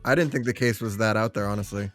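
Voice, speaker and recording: A man's voice: on the low side, fast talker, a bit bright, soft background music. A man chatting casually as one of a podcast's panelists. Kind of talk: casual chat.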